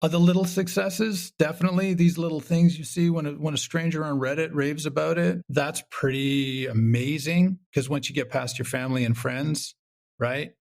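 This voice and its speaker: A man's voice: fairly high pitch, fairly even intonation, moderate pace, creaky, darkish tone. A man speaking as an interview guest, casual and reflective, thinking aloud and voicing his own imagined questions. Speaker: guest, audio drama creator.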